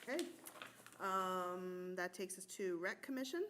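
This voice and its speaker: A woman chairing a borough council meeting, reading through the agenda item by item in a brisk, matter-of-fact way. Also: fairly low pitch, pushed and bright. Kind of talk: running a public meeting agenda. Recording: noisy meeting room audio.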